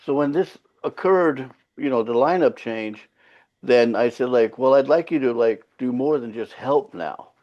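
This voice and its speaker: A Finnish-born singer whose first language is Finland Swedish, telling stories in a loose, profane way as an interview guest. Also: male voice, medium pitch, a little slow. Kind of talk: casual interview chat. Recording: slightly dull lo-fi sound.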